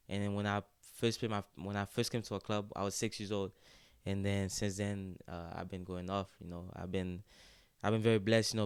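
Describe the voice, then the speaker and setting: A young man from Haiti, a student-athlete guest, speaking in a relaxed, casual way and often saying "like" and "yes, sir" in an interview. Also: lowish voice, clear, resonant tone, no echo, studio quality. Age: young adult.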